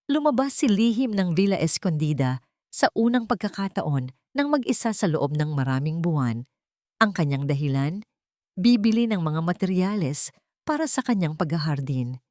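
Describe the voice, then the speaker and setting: Low-voiced Filipino woman narrating drama. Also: a little mellow, no echo, studio quality. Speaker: story narrator.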